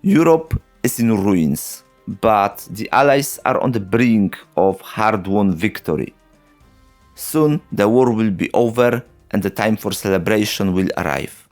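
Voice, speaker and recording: Slow, wry male history narrator. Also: lowish voice, animated, a little mellow, faint music behind. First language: not English. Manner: slow, wry, sarcastic, with short chuckles. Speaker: history channel host. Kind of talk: narrated history video with dry asides.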